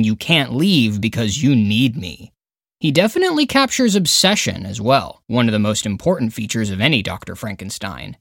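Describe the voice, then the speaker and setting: A man's voice: medium pitch, dry recording, studio quality. A man reading a scripted review countdown as a podcast narrator and critic, with an emphatic, opinionated, dramatic way of phrasing.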